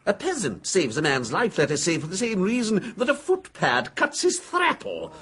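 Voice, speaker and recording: A touchy critic. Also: male voice, high voice, big pitch swings, moderate pace, heavily acted. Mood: indignant. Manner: indignant, sharp retorts. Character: prickly Scottish reviewer, touchy and argumentative man. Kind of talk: 1980s radio drama acting.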